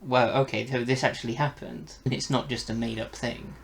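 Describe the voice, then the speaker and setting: Gravelly male reviewer, casual chat. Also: medium pitch, little pitch movement, fairly quick, a little hiss or hum. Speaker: podcast reviewer.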